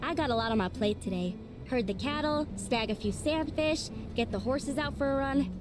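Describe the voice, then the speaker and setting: Brisk young cowgirl for a game, female actor; casual, folksy, notably high voice. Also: music in the background, natural voice. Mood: busy. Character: busy young female ranch hand, brisk.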